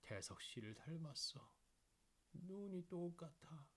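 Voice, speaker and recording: A male narrator puts on an elderly woman in mourning for an audio story. The words are stretched and emotional, pitched well up, tender and a little husky. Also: expressive, takes it slowly. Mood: tearful, tender. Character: grieving elderly woman, tender, reunited with lost love's family.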